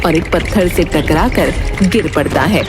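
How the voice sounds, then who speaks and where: A woman's voice: on the low side, unhurried, music in the background. A woman narrating a scripted storybook tale as its narrator.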